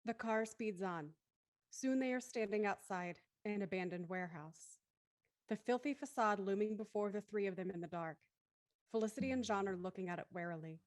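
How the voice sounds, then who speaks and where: A woman's voice: medium pitch, normal speed, dry recording. Woman narrator, very dry studio sound. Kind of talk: scripted audio drama narration.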